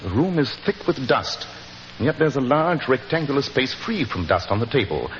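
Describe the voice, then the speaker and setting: An actor playing a precise, confident, commanding British gentleman detective in 1940s radio style. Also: mid-range voice, sing-song, normal speed, lightly acted. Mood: intent, reasoning. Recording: muffled 1940s radio, reverberant, hissy.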